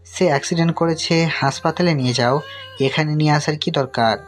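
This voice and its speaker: A man's voice: a little high, a little fast, close to an everyday voice. A man acting a sceptical, reproving middle-aged father who guards family honour in an audio story. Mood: suspicious, reproving. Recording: music under the voice.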